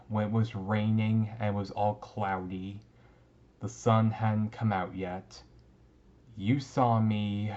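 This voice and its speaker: A man playing a secretive, flustered young vampire student in an intimate ASMR roleplay, with halting, stammering delivery. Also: fairly low pitch, darkish tone, muffled recording. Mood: accusing. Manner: halting, hesitant, with stammers and pauses.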